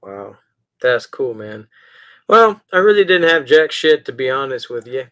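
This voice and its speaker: A man's voice: medium pitch, big pitch swings, measured pace, a bit bright. A man co-hosting a casual podcast about horror collectibles, talking in a laid-back, swearing, bro style. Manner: laid-back, profane, calls people bro. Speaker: podcast co-host.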